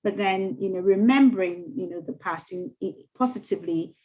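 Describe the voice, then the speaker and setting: Soft-spoken woman, halting speech. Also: medium pitch, poor audio. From Ghana. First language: not English. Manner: soft, halting, with many fillers and restarts. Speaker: mayor, interview guest. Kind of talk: casual interview conversation.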